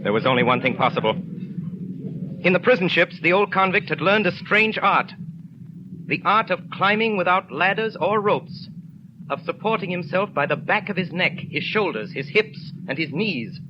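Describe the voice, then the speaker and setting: A man giving dramatic, deliberately phrased narration for a 1930s radio drama. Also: high-pitched, expressive. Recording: dull, noisy 1930s radio recording.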